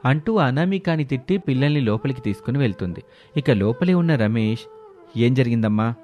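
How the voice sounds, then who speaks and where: A man's voice: medium pitch, animated, brisk, dull audio. A man reading scripted narration of a family moral story as the storyteller.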